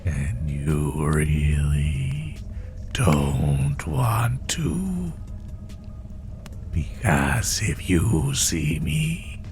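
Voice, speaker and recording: A man voicing a sadistic spider monster in a horror roleplay, taunting the listener with menace in a breathy, gravelly half-whisper. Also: rumbling low, a little mellow, heavily acted. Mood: quiet menace. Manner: slow, taunting, menacing, drawn-out phrases. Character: sadistic male spider monster hunting prey through the woods.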